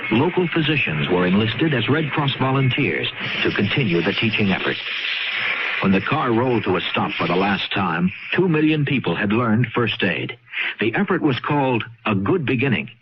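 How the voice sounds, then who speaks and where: Expressive low male announcer, very noisy. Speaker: public service announcer. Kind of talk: scripted radio announcement narration. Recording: dull radio recording, loud background noise.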